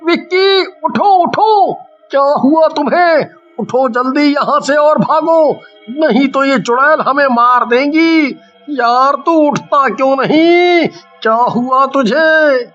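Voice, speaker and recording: A man's voice: extremely high pitch, slow pace, sharp tone, dull audio, exaggerated voice. A man voicing an anxious male thief in a horror cartoon. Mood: panicked. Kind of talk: cartoon horror story dialogue.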